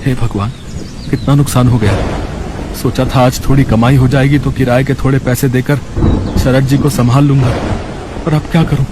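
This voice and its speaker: A man voicing a poor, kind-hearted street food vendor in a cartoon story, earnest and plaintive, with a husky voice. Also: medium pitch, steady tone, moderate pace, long pauses, background noise, lightly acted. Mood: distressed. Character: poor, worried, kind-hearted male pani puri vendor.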